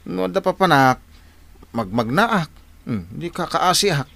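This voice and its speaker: A man's voice: a little high, expressive, slow pace, gravelly, fairly bright tone, exaggerated voice. A man acting in an Ilocano radio drama as an idle husband who coaxes and pleads playfully. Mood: wheedling. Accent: Ilocano, northern Philippines. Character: idle, wheedling husband sweet-talking his hardworking wife.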